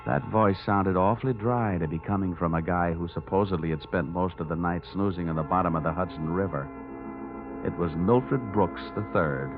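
A man's voice: low-pitched, normal speed, close to an everyday voice. A man playing a tough, wisecracking insurance investigator, narrating and talking in a punchy, sardonic old-time radio detective style. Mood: wry narration. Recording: dull old radio recording, loud music.

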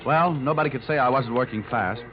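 An actor playing a wisecracking, hard-boiled private investigator in an old radio drama, with a wry deadpan delivery. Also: male voice, medium pitch, a little fast, natural voice. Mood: wry narrating. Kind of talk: old-time radio detective drama, narrated and acted. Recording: muffled vintage radio recording with music.

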